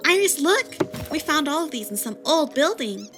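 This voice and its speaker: Woman doing a super high bouncy ex-sheep girl, all sparkly and excited, music behind. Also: normal speed. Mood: eager. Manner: cheerful, wide-eyed and easily delighted. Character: bubbly young woman who used to be a sheep. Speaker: guild member. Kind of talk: scripted voice drama. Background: background music.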